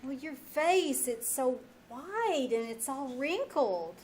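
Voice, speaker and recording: A woman's voice: high-pitched, expressive, takes it slowly, darkish tone. A woman playing a wisecracking, slightly scatty schoolteacher with a wry, stammering manner, in an old-time radio comedy. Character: wisecracking female schoolteacher, scatty and drily teasing. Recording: noisy live theater stage recording.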